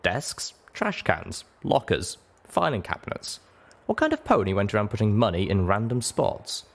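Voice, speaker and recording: A man reading a first-person adventure story aloud as a dramatic narrator, with expressive and deliberate phrasing. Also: lowish voice, gravelly. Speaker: audiobook narrator.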